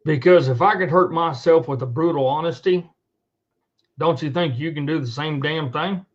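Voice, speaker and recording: A man with a slightly high voice rants off the cuff as host of his own online show. He is blunt and sweary, hammering points home by repeating them. The sound is dull and lo-fi. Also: normal speed. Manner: blunt, profane, emphatic, repetitive. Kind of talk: unscripted monologue rant to camera. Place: indoors at a computer.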